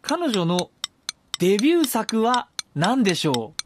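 Chatty male podcast co-host, about 30, teasing and animated, slightly high voice, loud music behind. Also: measured pace. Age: around 30. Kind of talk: comedic podcast banter.

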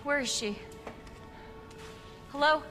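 A woman's voice: high voice, slow pace, pushed and bright, exaggerated voice. An actress playing a determined, protective young woman in a video game, speaking with urgent, insistent emotion. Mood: urgent, confused. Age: young adult. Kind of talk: video game cutscene acting. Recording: dramatic music behind the voice. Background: game soundtrack music.

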